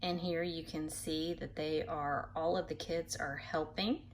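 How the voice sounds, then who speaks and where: Woman, children's storyteller, lowish pitch, unhurried pace, expressive picture-book reading, simple patient explanations. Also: a little hiss or hum, low-quality recording. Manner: animated, acts out animal sounds, addresses young listeners. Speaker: read-aloud storyteller for children.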